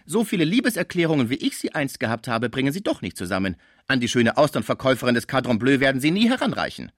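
A man voicing a sly housekeeper woman over fifty, falsely naive and sharp-tongued, in a storybook reading. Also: medium pitch, very animated, fast, no room sound, clean studio sound, pitched above the performer's own voice. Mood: indignant boasting. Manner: feigned meekness, indignant.

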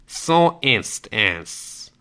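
A man voicing a menacing hooded messenger from a dark game world, speaking in a slow, commanding, portentous way for an audiobook. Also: medium pitch, big pitch swings, clean tone, heavily acted. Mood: cryptic. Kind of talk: dramatic audiobook character voice.

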